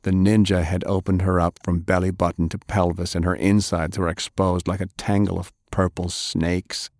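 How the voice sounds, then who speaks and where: A man reading fiction as an audiobook narrator, dramatic and grave, with measured delivery. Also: low voice, little pitch movement, darkish tone, no echo, studio quality.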